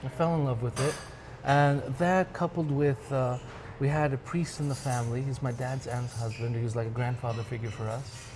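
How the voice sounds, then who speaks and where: A man's voice: mid-range voice, steady tone, moderate pace, hardly any pauses. A man from Michigan with an American accent, talking casually as an interview guest and slipping Arabic phrases into his English. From Michigan, United States. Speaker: interview guest, musician and music director. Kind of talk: casual interview talk, reminiscing. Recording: clearly noisy background ambience.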